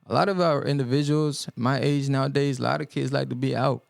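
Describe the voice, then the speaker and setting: A young man born in El Salvador and raised in the US Midwest, a guest musician giving earnest, halting answers on a podcast, full of ums and restarts. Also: mid-range voice, steady tone, vocal fry, no echo. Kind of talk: reflective podcast interview answers. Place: podcast studio.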